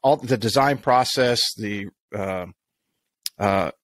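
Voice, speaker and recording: A man's voice: on the low side, takes it slowly, fairly bright tone, no echo. A man hosting a golf podcast, talking in long rambling sentences with many restarts and asides. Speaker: podcast host. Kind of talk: casual interview chat.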